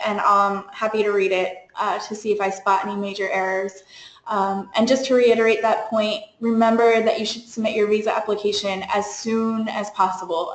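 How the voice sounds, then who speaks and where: A woman's voice: mid-range voice, echoey room, rough recording. A young woman on a study abroad program staff, giving an informal webinar briefing in a chatty way, with lots of fillers and small laughs.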